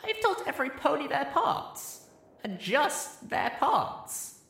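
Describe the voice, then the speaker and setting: A weak, feverish young mare calls out high and breathless, as a male narrator puts her on. Her voice bounces widely in pitch, and it rings in a roomy space. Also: big pitch swings, slow pace, creaky, fairly bright tone, full character voice. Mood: remembering. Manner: pleading, breathless. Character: small young female pony heroine, weak and feverish.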